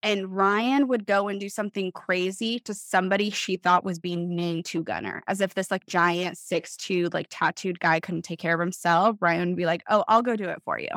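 A woman author in casual podcast chat, telling things in an animated way with lots of "like" and acted-out quotes. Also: fairly low pitch, normal speed, few pauses, dry recording, clean studio sound. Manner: animated storytelling, acts out imagined quotes, heavy use of "like". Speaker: author guest on a book podcast.

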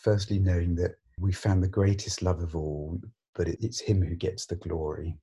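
A man's voice: deep voice, flat delivery, moderate pace, warm tone, slightly rough. A middle-aged man hosting a casual church podcast interview, asking questions and adding short reflections.

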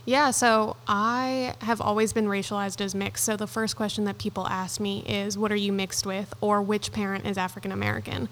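A woman's voice: mid-range voice, level tone, fairly bright tone. Casual woman from Puerto Rico. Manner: casual, laughing, quick agreeing "yeah"s. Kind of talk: panel discussion.

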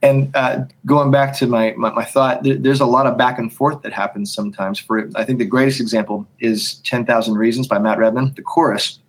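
A man's voice: mid-range voice, steady tone, brisk, few pauses, slightly rough, rough recording. Rambling man musing about worship songs. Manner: thinks aloud with many restarts, sings snippets to illustrate. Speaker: worship songwriter and leader. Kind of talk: podcast conversation.

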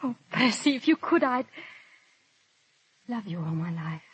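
A woman's voice: a little high, expressive, unhurried, slight background noise. An actress playing an anguished, passionate English noblewoman in a 1930s radio drama, with earnest, theatrical delivery. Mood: wounded, yearning. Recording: muffled vintage 1930s radio recording.

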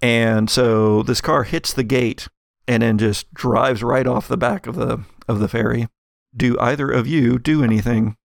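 A man narrates a tabletop game as its game master, conversational and improvising as he goes. His voice has plenty of fry in it and sits at an average pitch, recorded dry with no room sound. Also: steady tone, unhurried, no echo.

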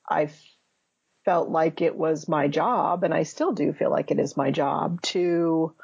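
Woman in her 50s, husky voice, thinks out loud and restarts a lot, muffled lo-fi sound. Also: low voice, dry recording. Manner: thoughtful, searching, with many restarts. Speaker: memoir author guest. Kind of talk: reflective interview answers.

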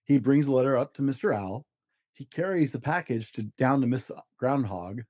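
A man hosting a children's show, reading a storybook aloud in simple, expressive language for young listeners. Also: mid-range voice, normal speed, dry recording, studio quality.

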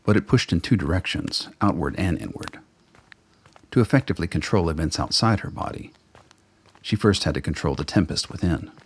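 Casting: gravelly, very deep male narrator, steady and even. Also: brisk. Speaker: writer and narrator. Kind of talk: scripted radio play narration.

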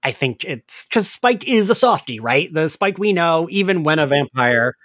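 A man's voice: fairly high pitch, normal speed, slight background noise. A man hosting a podcast, chatting casually through a recap with lots of "like" as filler. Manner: animated, chatty, filler-heavy with frequent "like". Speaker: podcast host.

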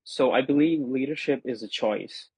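A man in his twenties whose first language is Gujarati, a podcast guest giving earnest, grateful answers with frequent pauses and repeats. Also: mid-range voice, breathy edge, no echo. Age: 20s. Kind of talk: conversational interview answers.

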